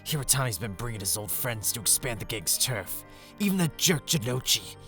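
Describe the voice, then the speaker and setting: A man's voice: medium pitch, big pitch swings, moderate pace, bright, forceful tone, soft background music. A man playing a cocky yet cowardly teenage gang lackey, slangy and complaining, in an audio drama. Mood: gossiping, smug.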